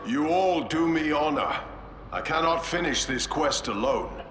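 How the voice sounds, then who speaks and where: An actor playing a solemn space marine chapter master who speaks in a grave, measured way. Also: male voice, on the high side, lively intonation. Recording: echoey game cutscene with music.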